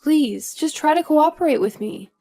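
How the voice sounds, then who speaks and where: A woman's voice: high-pitched, lively intonation, moderate pace, warm tone, faint music behind. A woman playing an earnest young daughter-in-law who is polite but firm, in a scripted audio story. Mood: earnest, pleading. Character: earnest, indignant young daughter-in-law standing her ground.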